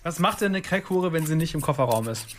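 A woman's voice: sits low, expressive, fast. A woman hosting a casual interview in an informal, playful way. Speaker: radio interviewer and host.

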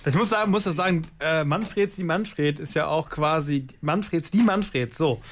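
A man's voice: on the high side, normal speed, a little hiss or hum. A man hosting a radio show in a casual, rambling and playfully ironic way. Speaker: radio host. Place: radio studio.

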